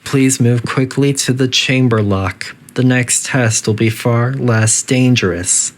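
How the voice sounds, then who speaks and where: A synthetic voice playing a politely detached, quietly menacing artificial intelligence that makes formal, deadpan announcements in a video game test facility. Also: mid-range voice, slow, lightly acted. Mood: clinically polite. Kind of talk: scripted game announcer narration.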